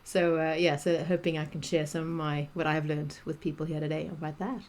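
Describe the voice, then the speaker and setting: A woman's voice: sits low, flat delivery, brisk, a little mellow. A middle-aged South African woman, a guest coach chatting on a podcast, talking freely with many restarts and fillers. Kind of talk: podcast interview conversation.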